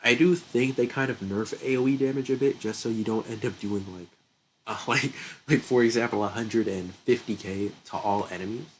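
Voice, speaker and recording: A man's voice: lowish voice, normal speed, poor audio. Young guy, stuffy and kinda sick, chill game commentary, laughs a lot, bit of hiss behind. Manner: chatty, laughs often, thinks aloud. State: stuffy nose, getting sick. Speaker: gaming channel host. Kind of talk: casual gameplay commentary.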